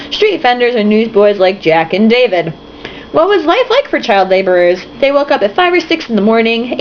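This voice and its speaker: A young woman reading a novel aloud, tipsy, giggly and sarcastic, breaking off for swearing asides. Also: fairly high pitch. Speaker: reader and commentator. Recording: dull lo-fi home recording with faint hiss.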